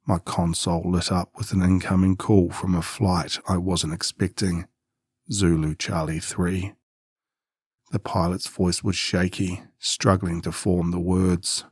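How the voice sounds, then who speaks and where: A man narrating a scripted first-person horror story in a grave, measured, suspenseful manner. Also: deep voice, flat delivery, darkish tone, husky, dry recording, clean studio sound.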